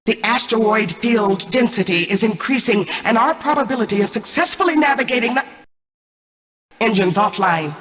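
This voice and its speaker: A haughty, menacing female computer intelligence from a video game. Her synthetic voice is bright and hard-edged, and it rings with echo. She delivers formal, exact announcements with icy authority, sounding set back from the microphone. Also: on the high side, animated, normal speed, recorded from a distance. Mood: clinical. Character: cold, imperious female mainframe AI, menacing antagonist turned uneasy ally. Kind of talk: scripted video game character lines.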